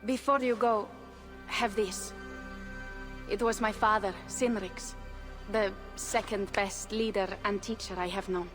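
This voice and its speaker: A woman's voice: medium pitch, steady tone, measured pace, fairly bright tone, faint music behind, close to an everyday voice. A woman playing a proud young Viking jarl. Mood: earnest. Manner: firm and earnest. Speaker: jarl character. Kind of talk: game cutscene dialogue.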